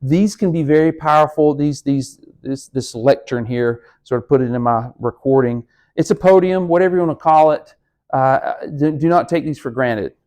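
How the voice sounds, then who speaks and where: A man's voice: mid-range voice, normal speed, a little mellow. A man lecturing his class as a speech instructor, talking in an encouraging, conversational way straight to the students. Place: room with a lectern.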